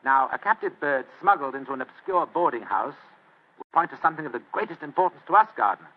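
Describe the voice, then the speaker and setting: Languid English authority figure, shrewd, deliberate, fairly high voice, phone-thin vintage radio sound. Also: male voice, expressive. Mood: grave, deliberate. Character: pompous, languid gentleman of authority, shrewd and secretive. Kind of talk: old radio mystery acting. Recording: tinny old radio recording.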